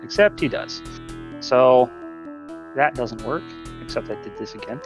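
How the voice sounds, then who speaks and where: A man's voice: medium pitch, a little slow, highs cut off, over music. A man giving informal live commentary as a speedrunner, often talking to the game while he plays.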